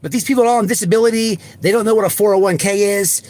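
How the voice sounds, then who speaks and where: Man, slightly high voice, livestream host, off-the-cuff ranting, blunt, repeats himself, stumbling restarts. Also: darkish tone. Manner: blunt, repetitive, halting with repeated false starts. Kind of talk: unscripted livestream rant and chat with viewers.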